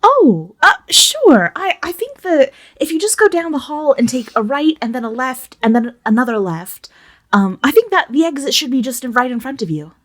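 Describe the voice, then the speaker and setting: Woman playing a polite young opera girl, very animated. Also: sits high, moderate pace, heavily acted. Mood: helpful, patient.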